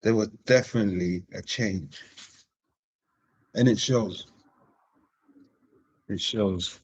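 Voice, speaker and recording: A man hosting a live-streamed panel chat. He talks casually, repeating phrases and giving slangy shout-outs to viewers. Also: lowish voice, slow pace, slightly rough. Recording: dull, lo-fi stream audio.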